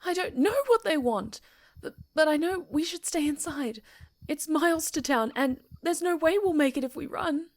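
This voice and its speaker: A woman acts out a young woman in an ASMR audio drama, her voice on the high side. She speaks expressively and theatrically, as a seemingly friendly character who hides a sinister streak. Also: moderate pace, few pauses. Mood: panicked, frantic. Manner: stammering, expressive, dramatic delivery. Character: friendly young woman camper turned panicked, secretly sinister stalker.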